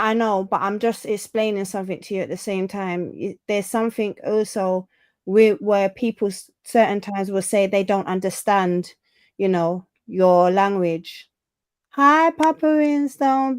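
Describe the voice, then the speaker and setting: A woman's voice: mid-range voice, steady tone, a little slow, clean tone, no room sound. A woman hosting a casual livestream, chatting and reading viewer comments aloud. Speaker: livestream host.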